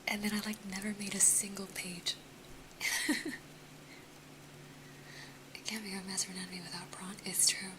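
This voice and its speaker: A woman's voice: mid-range voice, level tone, normal speed, long pauses, background noise. A young woman with an American accent whispering casually to her live stream viewers, a little sniffly, close to the mic. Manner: soft whisper throughout, chatty, laughs often. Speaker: ASMR streamer chatting with viewers.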